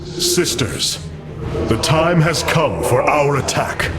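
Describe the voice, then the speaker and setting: A man's voice: a little high, very animated, takes it slowly, over loud music. An actor playing a noble, resolute male alien commander in a video game, grave, formal and commanding. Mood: determined. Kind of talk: dramatic video game voice acting.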